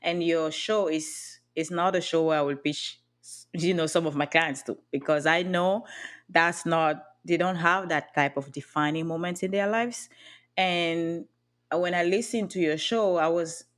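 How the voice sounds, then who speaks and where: A woman's voice: lowish voice, fairly even intonation, unhurried. A woman from Cameroon with a Cameroonian accent, speaking earnestly as a podcast guest and often restarting her sentences. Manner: earnest, with frequent restarts and light laughs. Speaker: podcast guest, booking agent. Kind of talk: reflective interview talk.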